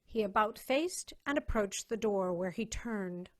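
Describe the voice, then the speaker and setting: Female narrator, mid-pitched, for a scripted pulp science fiction story reading. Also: animated, a little slow. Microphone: video call.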